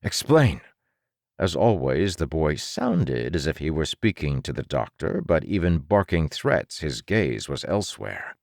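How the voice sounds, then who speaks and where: Deep, gravelly male audiobook narrator. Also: very animated, no echo, clean studio sound. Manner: deliberate, dramatic storytelling. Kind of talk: scripted dramatic fiction narration.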